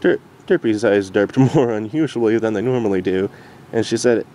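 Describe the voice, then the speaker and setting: A man with a mellow voice reads a story aloud in an amateur style. The reading is halting, with stumbles over words, and there is faint noise behind it. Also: on the low side. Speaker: amateur fan-fiction reader. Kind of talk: story narration read aloud.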